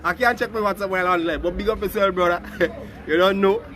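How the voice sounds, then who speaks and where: A Grenadian man with a voice hoarse from a cold, joking and teasing viewers during a casual phone live stream, breaking into sung lines. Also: sits high, fast, a bit bright.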